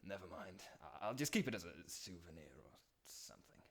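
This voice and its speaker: A man's voice: sits low, very animated, brisk, breathy edge, heavily acted. A man playing an awkward, apologetic partner at a wedding in a comic puppet musical, hesitant and sheepish. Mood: disappointed, polite.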